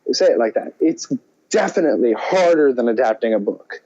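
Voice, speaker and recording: A man co-hosting a podcast in casual chat, halting and circling around his point as he talks. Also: mid-range voice, expressive, mellow, lo-fi sound. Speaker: podcast co-host.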